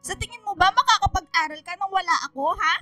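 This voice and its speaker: High-voiced, reproachful Filipina mother. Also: quick pace, sharp tone, no room sound, faint music behind. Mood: hurt, angry. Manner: emotional, reproachful. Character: hurt, scolding Filipina mother of a student. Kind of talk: radio drama acting.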